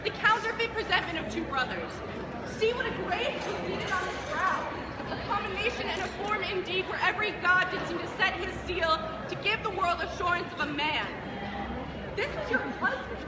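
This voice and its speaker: A young woman performing an accusing, scornful prince in a forceful Shakespearean stage monologue. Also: extremely high pitch, expressive, moderate pace, over a noisy crowd. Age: teenager. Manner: declamatory, forceful. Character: accusing prince scorning his mother's new husband. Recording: very noisy echoey crowded courtyard.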